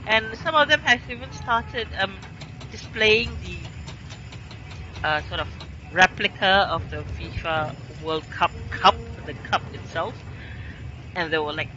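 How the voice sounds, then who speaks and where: A woman's voice: medium pitch, slow pace, sharp tone, highs cut off. A woman from Singapore chatting casually while streaming a game from her home in Qatar, meandering and full of fillers.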